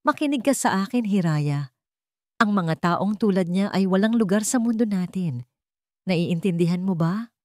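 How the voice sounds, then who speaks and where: A woman's voice: medium pitch, lively intonation, moderate pace, a little mellow, no room sound, studio quality, natural voice. A woman voicing a furious, wealthy old grandmother who gives scornful, commanding orders in a dramatic story. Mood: stern anger.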